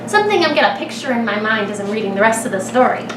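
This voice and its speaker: High, animated woman reading a children's story. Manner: animated, dramatic pauses, thinking aloud. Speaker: kindergarten teacher reading a picture book aloud. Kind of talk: expressive children's story read-aloud with questions to listeners. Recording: echoey room, distant mic, clear background noise.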